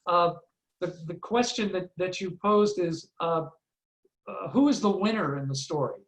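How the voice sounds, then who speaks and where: A man with a high-pitched voice moderates a panel in a conversational way. The sound is noticeably roomy and echoing. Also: normal speed.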